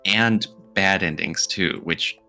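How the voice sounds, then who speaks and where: Male podcast co-host, deepish and forceful, playfully argumentative, casual chat with faint music underneath. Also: slow.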